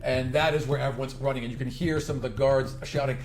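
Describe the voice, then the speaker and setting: A man's voice: medium pitch, steady tone, fast, faint music behind. A man working as game master, improvising narration for a tabletop fantasy game.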